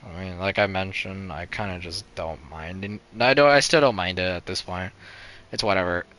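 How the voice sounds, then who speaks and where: A man's voice: low-pitched, huge pitch swings, normal speed, pushed and bright. A young man streaming a game live, chatting casually in a rambling, resigned way, full of "like" and "you know" and restarted sentences.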